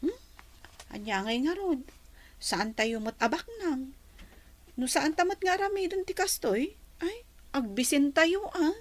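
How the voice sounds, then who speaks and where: An actress playing a chatty, defensive young village vendor in an Ilocano radio drama, with an Ilocano accent. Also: female voice, high-pitched, expressive, unhurried, long pauses. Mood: defensive banter. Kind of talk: Filipino radio drama acting.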